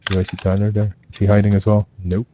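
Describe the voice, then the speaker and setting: A man hosting a community meeting, moving briskly through updates in a playful, casual way with some restarts. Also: fairly low pitch, monotone, muffled recording, slight background noise. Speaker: meeting host.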